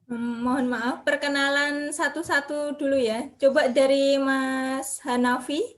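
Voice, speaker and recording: Woman course instructor, high voice, brisk roll call on a video call. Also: steady tone. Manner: brisk, calls names one by one. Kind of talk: online class roll call.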